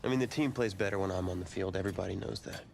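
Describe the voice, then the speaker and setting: A man's voice: low voice, rapid-fire, vocal fry, darkish tone, a little hiss or hum. A man playing an irritable, competitive young college quarterback in a naturalistic video game cutscene. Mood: competitive, resentful. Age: young adult.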